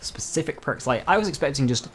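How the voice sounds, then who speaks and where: A man's voice: mid-range voice, lively intonation, fast talker, a bit bright. A man talking casually through game news as a video creator, informal and dropping dry, joking asides. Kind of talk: casual gaming news commentary.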